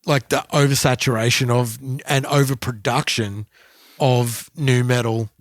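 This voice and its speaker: Man, podcast co-host, medium-pitched voice, dry close sound, casual anecdotal chat. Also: fairly even intonation, unhurried, no echo.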